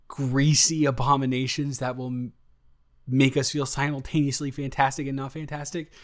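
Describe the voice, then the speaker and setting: Casting: male actor for young, affectionate, easily excited boyfriend in roleplay audio; medium-pitched, playful, tumbles over his words. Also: natural voice. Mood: excited, adoring. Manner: effusive, rambling, stumbling over words, playful slang. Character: affectionate, excitable, goofy golden-retriever boyfriend, young adult. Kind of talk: improvised boyfriend roleplay audio, casual intimate chat.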